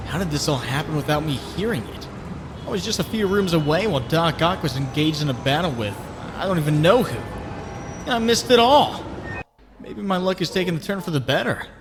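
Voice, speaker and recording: A man's voice: a little high, animated, a little fast, big-room sound, background noise, close to an everyday voice. A man playing an earnest, troubled young superhero in a comic dub, brooding aloud. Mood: worried musing. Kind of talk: comic book dub acting.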